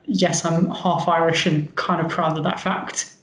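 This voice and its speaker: A man's voice: a little high, little pitch movement, measured pace. Upbeat London man in an echoey room. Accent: London English. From England. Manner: upbeat, chatty, with fillers. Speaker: guest, medical device founder. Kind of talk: casual interview talk.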